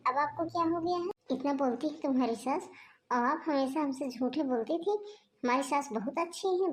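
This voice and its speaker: Brash young sister-in-law, a woman doing her, pretty high voice, grumbles a lot. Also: lightly acted. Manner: blunt, grumbling. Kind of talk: homemade family skit acting.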